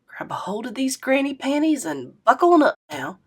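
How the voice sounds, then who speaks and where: A woman's voice: sits high, sing-song, normal speed, slightly rough, pitched above the performer's own voice, full character voice. A woman reading aloud and voicing a spunky, playful elderly grandmother who talks in a sassy, folksy way.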